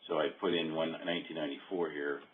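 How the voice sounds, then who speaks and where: A man with a very low voice walks someone through software on a muffled, phone-like call. He talks casually and haltingly, working things out aloud as he goes. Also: level tone, a little hiss or hum. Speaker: software demonstrator. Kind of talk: casual screen-share walkthrough. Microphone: phone or conference call.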